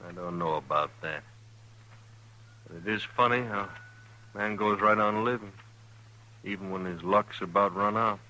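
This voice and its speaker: An actor playing a lonely, resigned American stage station keeper in a radio western, terse and subdued. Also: male voice, on the low side, moderate pace, pauses often, pushed and bright, rough recording. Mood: wistful. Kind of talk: old-time radio western acting. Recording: vintage radio recording.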